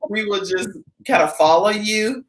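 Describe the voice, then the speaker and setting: A woman's voice: mid-range voice, unhurried, reverberant, slight background noise, rough recording. A woman hosting a live talk show, chatting casually and laughing easily with her guest. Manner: upbeat, chatty, laughs often. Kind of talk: casual live interview chat.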